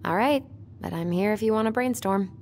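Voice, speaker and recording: A woman's voice: mid-range voice, fairly quick, darkish tone, a little hiss or hum, close to an everyday voice. A woman playing a wry, teasing young twin sister in an understated, naturalistic video game style. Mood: gently teasing. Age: young adult.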